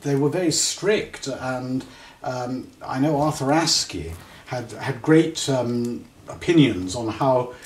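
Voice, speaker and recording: A British man hosting a casual chat show, putting questions in a halting way with pauses mid-sentence. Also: medium pitch. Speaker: chat show host, interviewer. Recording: echoey room, distant mic, faint background noise.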